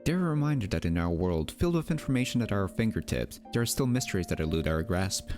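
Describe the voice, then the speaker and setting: Male narrator, low register, lively melodic delivery, wry scripted mystery documentary over faint music. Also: fast. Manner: wry, theatrical, rhetorical asides.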